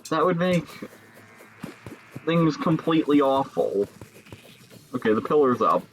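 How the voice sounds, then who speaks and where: Unhurried young man from Chicago musing. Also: fairly high pitch, animated, soft background music, rough recording. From Chicago, Illinois. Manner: musing, chats with viewers between pauses. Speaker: streamer. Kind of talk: casual live-stream chat while gaming.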